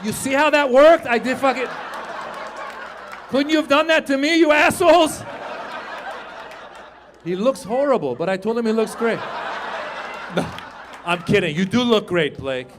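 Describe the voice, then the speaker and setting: Loud, animated man in his fifties doing stand-up. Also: extremely high pitch, measured pace, pauses often, a little hiss or hum. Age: 50s. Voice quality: loud, animated, swears freely. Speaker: stand-up comedian. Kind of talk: stand-up comedy set with crowd work. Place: comedy club stage before a live audience.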